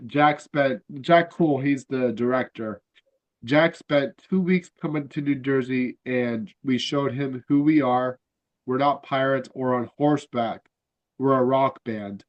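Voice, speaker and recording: A man's voice: mid-range voice, slow pace, lo-fi sound. A man hosting a podcast, congested and nasal, talking in a rambling casual way with lots of fillers and restarts. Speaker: podcast host. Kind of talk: casual fan chat.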